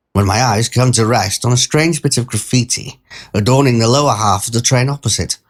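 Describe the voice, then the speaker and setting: A man performs a mild-mannered, bemused male train passenger narrating an audio short story. His pitch sits in the middle range. He talks conversationally in wryly formal wording, wandering into pedantic asides. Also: natural voice.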